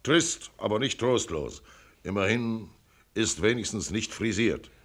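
A man's voice: fairly low pitch, expressive, unhurried, a bit bright. An actor playing a blunt, shrewd older millionaire businessman in a radio play, with brusque, clipped, commanding delivery. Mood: brisk, lecturing. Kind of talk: radio drama acting.

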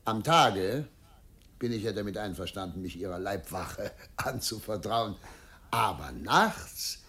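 An actor playing a genial, proud older governor in a German radio drama, teasing with mock formality. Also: male voice, on the low side, expressive, a little slow, bright, forceful tone. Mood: amused, indulgent.

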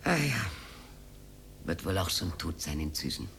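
An actress playing a proud, aggrieved old cleaning woman with an Austrian accent and a husky, cracked voice in a radio drama. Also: extremely low pitch, big pitch swings, measured pace, fairly bright tone, a little hiss or hum, heavily acted. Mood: wistful. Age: older. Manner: emotional, rambling, swinging from mutter to outcry.

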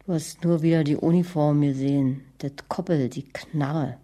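A middle-aged woman playing a bitter, scornful, nagging wife in a radio drama, with a raspy voice. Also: deep voice, steady tone, normal speed, warm tone.

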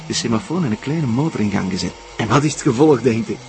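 An actor playing an obsessive, menacing Flemish captor in a television crime drama, coaxing with sing-song mock tenderness. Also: male voice, medium pitch, lively intonation, fast, vocal fry, a little mellow. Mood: gloating menace.

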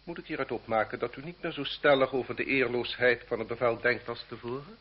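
An actor playing a cruel, haughty French marquis in a radio drama, speaking in a pompous, formal, condescending way. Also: male voice, medium pitch, a little fast, breathy edge, natural voice. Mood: haughty, self-satisfied. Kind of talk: Dutch radio drama acting. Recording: muffled old radio recording with background noise.

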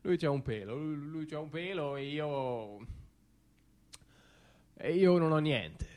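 An actor playing a neurotic, anxious everyman in a theatrical comic monologue, halting and muttering, then frantically exclaiming. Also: on the high side, lively intonation, darkish tone. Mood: musing, envious. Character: neurotic, self-deluding everyman, anxious and easily queasy. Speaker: stage monologist.

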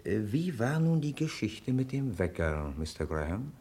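An actor playing a stern, sardonic chief interrogator in radio crime drama, with a commanding manner. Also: male voice, fairly low pitch, very animated, moderate pace, mellow. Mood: courteous, controlled. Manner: commanding, barking at the suspect, courteous to witnesses. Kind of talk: 1950s German radio crime drama acting.

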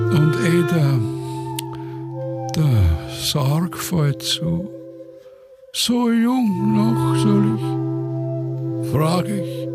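Creaky old Viennese emperor, sleep-singing. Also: male voice, fairly high pitch, exaggerated voice. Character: drowsy, self-pitying old Austrian emperor singing in his sleep. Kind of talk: satirical sung monologue in radio play. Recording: loud music under the voice. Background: music.

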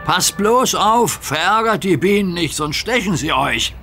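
A man's voice: high voice, lively intonation, unhurried, music in the background, voice pushed up. A man voicing a friendly, cautioning diesel locomotive in a children's audio story, genial and emphatic. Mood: warning.

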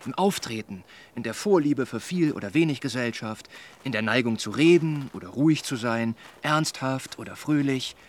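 An actor playing an earnest, well-meaning young gentleman in a German radio drama, speaking in a measured, formal, persuading way. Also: medium pitch, lively intonation, close to an everyday voice. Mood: insistent.

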